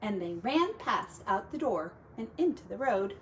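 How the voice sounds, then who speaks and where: A woman teacher reading a children's story aloud in an expressive storytelling style. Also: mid-range voice, unhurried, slight background noise.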